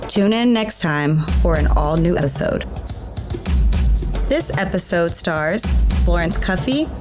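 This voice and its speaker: A woman's voice: on the low side, lively intonation, unhurried, music in the background. A woman reading a scripted announcement as the credits announcer of an audio drama.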